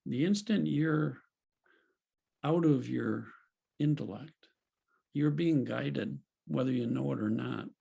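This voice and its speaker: A man leading a group video call as a spiritual teacher, speaking in a gentle, unhurried way and laughing softly now and then. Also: medium pitch, vocal fry, slightly rough. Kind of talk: reflective teaching talk.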